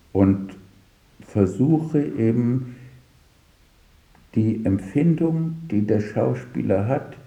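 Dark-voiced older man, slow and halting. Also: lowish voice, vocal fry, roomy sound. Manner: halting, searching for words, pausing mid-sentence. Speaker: radio drama director. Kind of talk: reflective interview answers, recounting work anecdotes.

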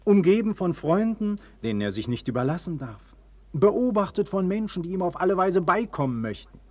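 A man's voice: high-pitched, big pitch swings, moderate pace, no echo. An actor playing an ardent, proud and weary nobleman and tender lover in a classic German radio play, with theatrical, declamatory delivery. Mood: impassioned, weary. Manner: theatrical, declamatory, swelling and falling to hushed tenderness.

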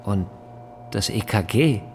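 A man's voice: on the low side, expressive, faint music behind. An actor playing a bewildered, hesitant male hospital patient of around forty in an understated radio drama. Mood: uneasy, doubtful. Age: 40s. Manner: hesitant, halting, quietly doubtful.

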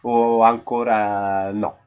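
A man's voice: on the low side, little pitch movement, extremely slow, clear, resonant tone. A man interviewing a guest casually, asking questions in a hesitant, attentive way. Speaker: radio interviewer. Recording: slightly dull, lo-fi radio audio.

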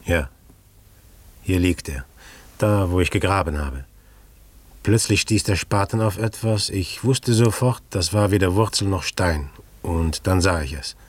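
An actor playing a scheming, secretive village innkeeper in debt, in a German radio drama, with an insistent, calculating manner. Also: male voice, low-pitched, animated, unhurried, dry recording. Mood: grimly matter-of-fact. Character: scheming, debt-ridden village innkeeper coldly plotting a crime.

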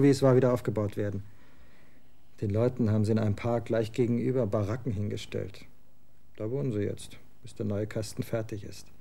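Actor for a scornful young rocker son of twenty, blunt slang, gravelly dark voice; radio play. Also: male voice, mid-range voice, natural voice. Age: 20s. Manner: slangy, scornful, quick to get excited. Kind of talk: German radio drama acting.

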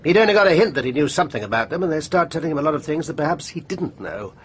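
An actor playing a brisk, blunt army major in a radio drama, with an offhand, clipped manner. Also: male voice, on the high side, animated, lightly acted. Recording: noisy vehicle ambience behind dialogue.

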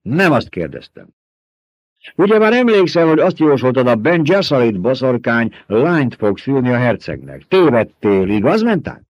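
A man voicing a scheming, gluttonous older baron in an audiobook, mocking and theatrical, with booming emphasis, in a dry, close recording. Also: very animated, a little mellow, dry recording, dull audio. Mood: taunting. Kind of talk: audiobook character voice.

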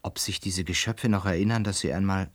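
An actor in a radio play as a lonely, distraught male lodger, talking haltingly and broodingly into a cassette recorder. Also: deep voice, fairly even intonation. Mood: haunted, brooding. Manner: halting, brooding, swinging from murmurs to anguished cries. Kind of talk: dramatic radio play monologue.

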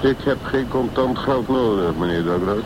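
An actor playing a worldly old private detective in a radio drama, deliberate, laconic and sardonic. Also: male voice, medium pitch, close to an everyday voice. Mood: dry, laconic. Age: older. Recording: clear background noise.

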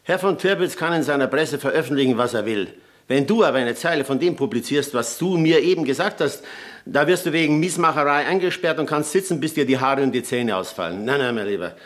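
An actor playing a wryly worldly, resigned politician in a German radio drama, with a sardonic, emphatic delivery. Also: male voice, mid-range voice, a little fast, natural voice.

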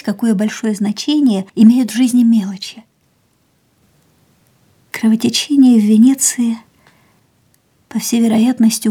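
An actress playing an ailing, proud and wistful young woman, reflecting in a measured, theatrical way in a radio drama. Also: on the high side, warm tone, slightly rough. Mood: bittersweet, reflective. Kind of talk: radio play dialogue and inner monologue.

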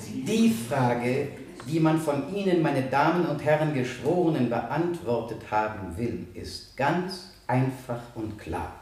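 An actor in a radio play voicing a stern, impassioned male prosecutor who addresses a jury in formal, emphatic oratory with deliberate pauses. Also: medium pitch, lively intonation, darkish tone. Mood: impassioned, accusing. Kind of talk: 1970 German radio play, courtroom oratory. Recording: echoey courtroom sound, distant from mic.

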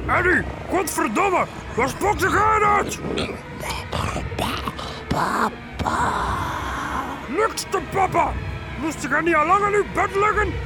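An actor playing a pompous, vengeful husband who rants and curses in a melodramatic radio horror comedy. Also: male voice, very high voice, expressive, normal speed, loud music behind the voice. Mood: indignant. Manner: ranting, melodramatic, coarse dialect. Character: vengeful, pompous cuckolded husband, raging and cursing.

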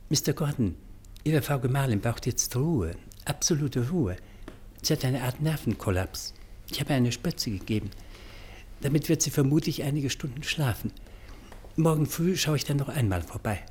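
An actor playing a courteous family doctor in a radio drama, with a polite, formal, measured manner. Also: male voice, medium pitch, animated, a little mellow, slightly rough. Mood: courteous, matter-of-fact. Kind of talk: German radio drama acting.

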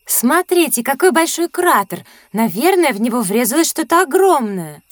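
A woman voicing a bright, attentive young pupil who is full of questions in an educational audio drama. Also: high voice, moderate pace, no echo. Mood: inquisitive. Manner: inquisitive, lively, attentive. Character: bright, inquisitive young pupil on an astronomy lesson.

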